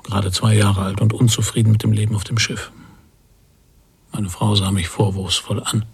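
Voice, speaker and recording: An actor playing a brooding emigrant father who recalls a stormy sea voyage in a reflective, measured radio play monologue. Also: lowish voice, flat delivery, gravelly, slightly rough, exaggerated voice. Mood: weary recollection.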